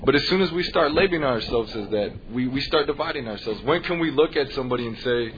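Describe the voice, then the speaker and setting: A man from the United States, a Spanish teacher, speaking earnestly and measuredly with frequent pauses in a panel discussion. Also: mid-range voice, expressive. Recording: dull lo-fi recording, faint noise.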